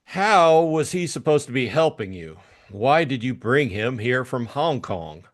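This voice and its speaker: A man's voice: medium pitch, lively intonation, measured pace, natural voice. Man playing a blunt hard-boiled detective. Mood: dry, probing. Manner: blunt, impatient. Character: hard-boiled, irritable male private detective, gruff and commanding. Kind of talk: audiobook drama acting.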